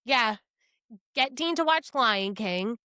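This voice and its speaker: Higher-voiced woman, dry close recording. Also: expressive, slow, pushed and bright, clear, resonant tone, no room sound. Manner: casually profane, emphatic. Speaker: podcast co-host. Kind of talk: casual book discussion chat.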